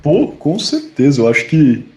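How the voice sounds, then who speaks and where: A man co-hosting a casual podcast, relaxed and laughing often. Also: fairly high pitch, normal speed, reverberant, faint music behind. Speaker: podcast co-host.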